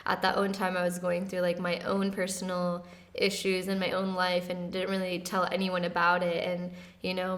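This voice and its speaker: Young woman guest, flat even delivery, soft-spoken and easygoing, casual interview talk. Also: lowish voice. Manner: soft-spoken, relaxed, often laughing lightly, many "like" fillers.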